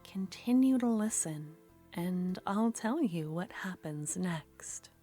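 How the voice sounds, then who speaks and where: A woman narrating a second-person ASMR story in a slow, soft, partly whispered voice. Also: lowish voice, animated, soft background music.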